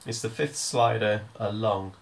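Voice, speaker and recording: A man giving a hands-on music software tutorial, explaining step by step in an informal, hesitant way. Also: fairly low pitch, fairly even intonation, measured pace, vocal fry.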